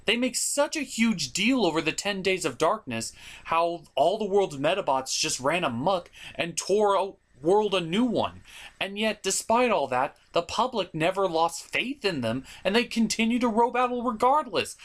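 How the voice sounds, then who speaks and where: A man's voice: high-pitched, very animated, moderate pace. A man hosting an enthusiastic scripted cartoon review, emphatic and blunt with casual asides. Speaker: host of a cartoon review show.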